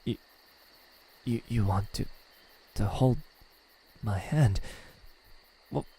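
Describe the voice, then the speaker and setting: Guy doing a husky demon for asmr roleplay, talks real slow and kinda haughty, secretly soft. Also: lowish voice, full character voice. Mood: flustered, shy. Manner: slow, halting, condescending speech with stammers and sighs. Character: lonely immortal male demon, menacing yet flustered, secretly tender. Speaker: voice actor.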